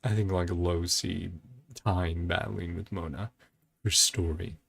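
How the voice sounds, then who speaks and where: Low raspy guy doing slow casual reaction commentary, thinking out loud as he goes, bit of music behind. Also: fairly even intonation. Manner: musing, thinks aloud in short broken phrases. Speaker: host of a reaction and ranking video.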